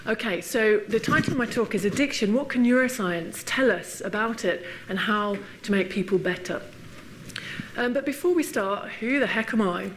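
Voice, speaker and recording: A woman's voice: fairly high pitch, lively intonation, normal speed, a little hiss or hum. Chatty English woman lecturing. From England. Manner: friendly, laughing often, many fillers. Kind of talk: public lecture. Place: lecture hall.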